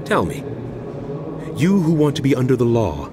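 An actor playing an earnest male apostle who pleads with and admonishes his flock, impassioned and deliberate, in a dramatized scripture reading. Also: mid-range voice, wildly swooping pitch, a crowd in the background. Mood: earnest, teaching. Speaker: actor in a dramatized audio Bible. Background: music.

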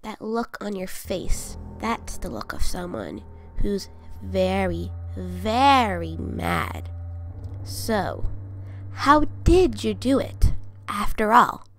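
A woman voicing a cold, taunting child, smug and deliberate, in a cartoon comic dub. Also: medium pitch, big pitch swings, soft background music. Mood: smug, probing. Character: cold, taunting child who remembers killing everyone.

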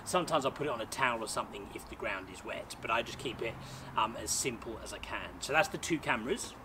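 A man in his thirties talks casually and cheerfully, explaining things one step at a time. His voice sits in a middle register. He's recorded outside in a small garden by a camera a few feet away, with clear outdoor noise and passing traffic behind him. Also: medium pitch, quick pace. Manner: chatty, upbeat, explaining step by step. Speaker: sports photographer and vlogger. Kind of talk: casual gear walkthrough. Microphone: camera mic on a tripod, a few feet away. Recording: outdoor camera audio with noticeable background noise.

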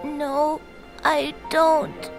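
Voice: high voice, level tone, very slow, creaky, over music, exaggerated voice. An actor voicing an earnest, naive little wooden puppet boy in a cartoon, childlike in manner. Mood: nervous, downcast. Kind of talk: animated cartoon acting.

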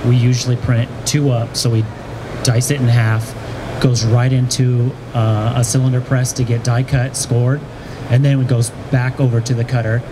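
A young man with a mid-pitched voice explains his work on a panel. He speaks conversationally with very even intonation. The noise of a trade show hall is clear behind his handheld mic. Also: normal speed. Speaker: panelist, print business owner. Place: large indoor exhibition hall. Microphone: handheld microphone. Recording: noisy trade show floor, ventilation hum.

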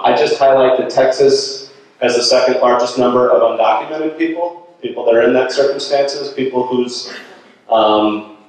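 A middle-aged man giving an informal slide presentation in an earnest, halting way, thinking aloud as he goes. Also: medium pitch. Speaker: guest speaker, nonprofit director. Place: indoor meeting hall. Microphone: handheld microphone through room speakers. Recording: very echoey room, distant sound.

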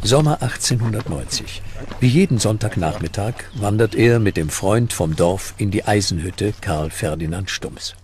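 Very deep-voiced male documentary narrator. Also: expressive, normal speed, darkish tone. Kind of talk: scripted historical documentary narration. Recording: music bed under the voice. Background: background music.

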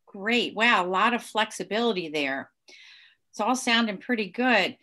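A woman's voice: medium pitch, lively intonation, moderate pace, bright, forceful tone. A woman moderating an online presentation, upbeat and enthusiastic as she poses questions to a panel. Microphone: video call.

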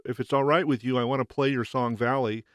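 A man's voice: mid-range voice, steady tone, fast talker, a bit bright, no room sound, clean studio sound. A man hosting a podcast in a conversational interview style. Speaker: podcast host.

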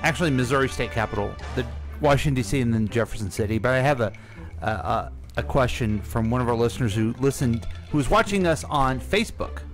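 A man's voice: mid-range voice, lively intonation, quick pace, faint music behind. A middle-aged man chatting casually as a podcast host who asks the questions, speaking into a close studio mic. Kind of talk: casual chat. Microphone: close studio microphone.

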